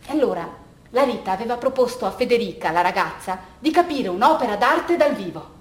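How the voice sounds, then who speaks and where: High-voiced woman storyteller in an echoey room. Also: lively intonation. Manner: dramatic, halting repetitions. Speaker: monologue performer. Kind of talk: theatrical monologue storytelling. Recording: very echoey room with faint noise.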